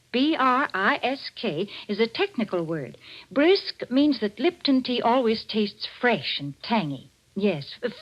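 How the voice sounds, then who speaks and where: A woman's voice: fairly high pitch, dull audio. A woman doing cheery, emphatic, persuasive 1940s radio advertising on an old broadcast recording. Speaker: radio show sponsor announcer.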